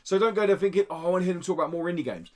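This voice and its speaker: A man's voice: sits high, expressive, very fast, darkish tone. A British man hosting a podcast in casual, jokey chat full of slang. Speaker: podcast host.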